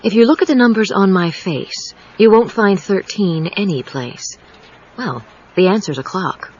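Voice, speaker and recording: An actress playing a poised, teasing psychiatrist in an audio drama, with a knowing, probing manner. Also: female voice, mid-range voice, a little slow, slightly rough. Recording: dull cassette audio with music.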